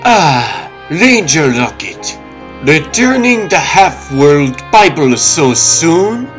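A pompous cartoon high priest, acted by a man, speaking slowly and brightly. He is serene and reverent, with music underneath. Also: sing-song, full character voice. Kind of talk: comic-book dub acting.

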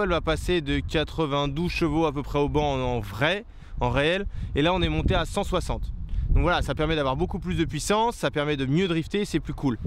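A man's voice: fairly high pitch, a little hiss or hum. A young man talking casually to a handheld camera outdoors, chatty and explaining things with lots of fillers and restarts. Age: young adult. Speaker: motorcycle stunt vlogger. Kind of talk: casual vlog talk to camera. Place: outdoors on a paved lot. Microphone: handheld camera at arm's length. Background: outdoor ambience, passing plane.

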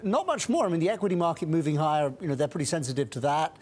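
A middle-aged man speaking as a guest strategist in a TV studio interview on a lapel mic, brisk, with frequent restarts and fillers. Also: fairly high pitch, big pitch swings, a little mellow.

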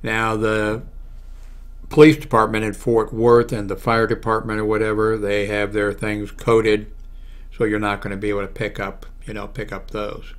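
Older american guy in his 70s, lowish voice, talking through websites off the cuff, kinda halting, close desk mic. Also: monotone. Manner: halting, thinking aloud while clicking through pages. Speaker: video blogger giving a how-to demonstration. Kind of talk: casual unscripted screen walkthrough. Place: small room at home, indoors. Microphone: large desktop microphone close to the mouth.

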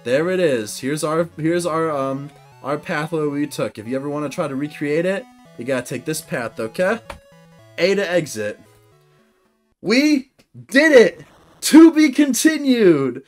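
A young man hosting a video game playthrough, cheering loudly as he narrates his progress from his desk. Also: on the high side, normal speed, soft background music. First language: English. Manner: excitable, cheering loudly at victories. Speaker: gaming video host. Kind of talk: let's-play commentary. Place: indoor room at a desk.

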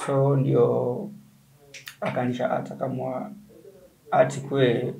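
A man's voice: mid-range voice, completely flat delivery, slow, gravelly, dark tone, rough recording. A man in his thirties with a Kenyan accent, answering interview questions as a manager. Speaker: gallery manager. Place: indoor art gallery room. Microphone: lapel.

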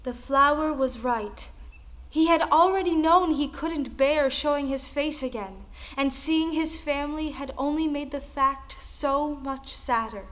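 A woman's voice: high-pitched, unhurried, fairly bright tone. A woman delivering scripted narration in a folktale audio drama. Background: music.

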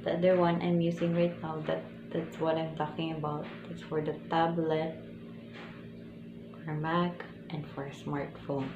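A young Filipino woman with a soft, quiet voice, chatting casually through a microphone review in a small bedroom and switching between English and Tagalog. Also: deep voice, flat delivery, measured pace, reverberant, phone-quality sound, noisy background. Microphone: phone camera, later a small clip-on mic.

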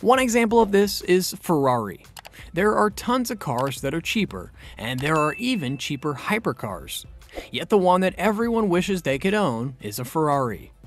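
Lively scripted male narrator over music. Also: fairly high pitch.

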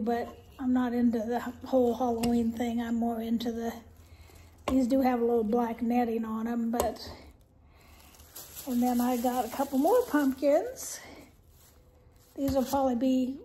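An older woman casually showing off her shopping haul at home, chatty and rambling with soft laughs and many ums, a little congested. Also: a little high, a little hiss or hum. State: slightly congested, clearing throat. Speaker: haul vlogger. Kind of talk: casual haul show-and-tell. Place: living room at home, indoors.

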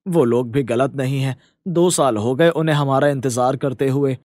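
A man's voice: medium pitch, normal speed, darkish tone, dry recording, clean studio sound, lightly acted. A man voicing a troubled, humble poor village father in a cartoon, speaking in an apologetic, weary manner. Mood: weary, resigned.

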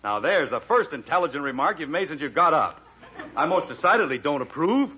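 A middle-aged sitcom father, wry and exasperated, with a forceful, very animated delivery. It is 1940s radio comedy on a dull vintage recording. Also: a little high, brisk, a little hiss or hum. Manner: sarcastic, authoritative.

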